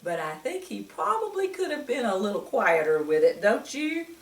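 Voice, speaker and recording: A woman's voice: medium pitch, sing-song, normal speed, a little hiss or hum. A woman reading a picture book aloud to young children, expressively, with exclamations and frequent questions to the listener. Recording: echoey room, distant microphone.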